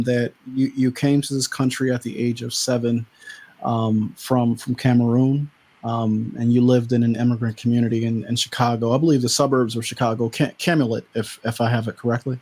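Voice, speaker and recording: A man's voice: medium pitch, fairly quick. A man hosting a casual interview, talking easily with many fillers and restarts. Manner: relaxed, lots of fillers and restarts. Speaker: host.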